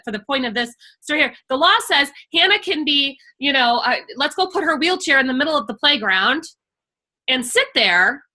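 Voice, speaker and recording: A middle-aged woman speaking with a bright, forceful edge and a slightly high pitch. She talks passionately and emphatically, as if advising parents in a relaxed workshop chat. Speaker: IEP coach and workshop host. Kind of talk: casual webinar conversation.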